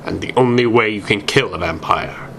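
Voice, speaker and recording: A man reading a story aloud as narrator, with deliberate, emphatic, amateur dramatic delivery. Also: lowish voice, creaky, a bit bright, slight background noise, poor audio.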